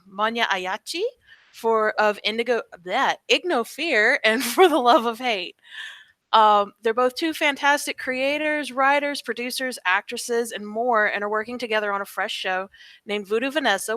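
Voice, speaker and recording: Casting: enthusiastic female live-stream host, slightly high bright voice, close dry sound. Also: no echo. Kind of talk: casual hosting.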